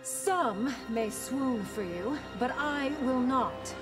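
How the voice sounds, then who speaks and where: A dignified, dismissive warrior woman for a fighting game. Her voice sits fairly high and moves freely up and down. She takes her time over formal, withering words. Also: lively intonation, slow, music in the background. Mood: dismissive amusement. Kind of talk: video game fight-intro banter.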